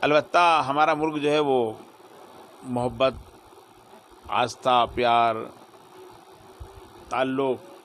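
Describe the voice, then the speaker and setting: A man in an interview speaking slowly, pausing between listed words. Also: mid-range voice, level tone, fairly bright tone, slight background noise. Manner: slow, listing his words with pauses. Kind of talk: street interview.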